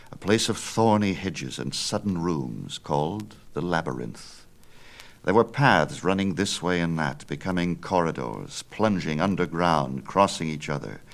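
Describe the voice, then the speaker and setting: Deep-voiced man telling myths, gravelly and animated. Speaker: audiobook narrator. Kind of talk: dramatic storytelling of a Greek myth.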